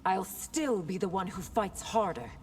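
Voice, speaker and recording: An actress playing a proud, compassionate warrior princess in a video game, speaking firmly and earnestly. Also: female voice, mid-range voice, expressive, measured pace, a little mellow, slight background noise, close to an everyday voice. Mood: impassioned. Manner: earnest, firm. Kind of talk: video game fighter dialogue.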